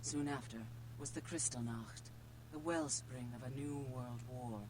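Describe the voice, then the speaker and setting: A woman's voice: very deep voice, steady tone, unhurried. A woman voicing an ancient, cryptic female vampire in a video game, delivering solemn, ominous warnings. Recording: game audio with humming noise.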